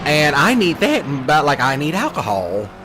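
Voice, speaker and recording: A man with a fairly high, very animated voice rants casually as he hosts a gaming video. His talk is folksy and teasing, wandering from point to point. Vehicle noise from a game runs clearly behind him. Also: normal speed. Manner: folksy, teasing, mocks others in put-on quoted voices. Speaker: gaming channel host. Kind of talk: casual rambling rant over gameplay. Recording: clear background noise, car engine sounds. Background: vehicle sounds from a driving video game.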